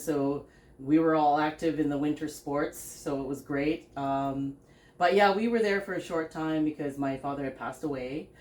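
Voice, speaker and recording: Low-voiced woman telling her story in an echoey room. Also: a little mellow. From Philippines, raised in Canada. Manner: halting, many fillers and restarts, laughs often. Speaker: podcast host and business founder. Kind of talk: casual interview, telling her life story. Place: living room, seated on a sofa. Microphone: stand mic between speakers.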